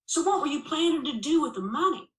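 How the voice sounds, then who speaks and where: A man's voice: very high voice, expressive, fairly quick, pitched above the performer's own voice. Woman voicing a needling folksy small-town sheriff, echoey room. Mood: probing, sarcastic. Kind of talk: audiobook character dialogue.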